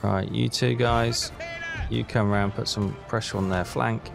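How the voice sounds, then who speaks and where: A man's voice: lowish voice, fairly even intonation, measured pace, gravelly, music in the background. A man hosting a gaming video, giving casual commentary and thinking aloud in a chatty way as he plays.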